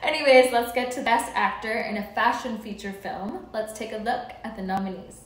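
A woman's voice: fairly high pitch, normal speed, background noise. A young woman presenting an award in a chatty scripted style, recorded in a very echoey room. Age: young adult.

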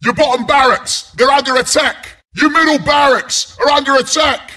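An actor voicing a scrappy, cocky porcupine brawler as a video game announcer, with a London Cockney accent, a gravelly rasp and cheeky, blunt banter. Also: male voice, sits high, sing-song, measured pace, sharp tone, heavily acted. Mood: urgent, alarmed. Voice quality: gravelly, raspy.

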